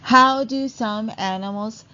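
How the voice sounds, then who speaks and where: A woman narrating a read-along lesson for children in a deliberate, instructional way. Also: a little high, dull audio.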